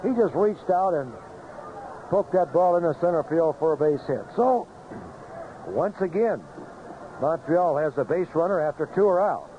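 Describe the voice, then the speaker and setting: Male, live play-by-play commentary, higher voice, noisy vintage radio sound, ballpark booth. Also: expressive, normal speed, dull audio. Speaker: baseball play-by-play announcer. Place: outdoor ballpark broadcast booth.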